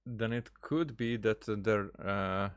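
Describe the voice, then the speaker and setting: Male gameplay commentator, somewhat low voice, casual and halting, working out thoughts aloud. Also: smooth. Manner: hesitant, halting, thinking aloud. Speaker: game streamer.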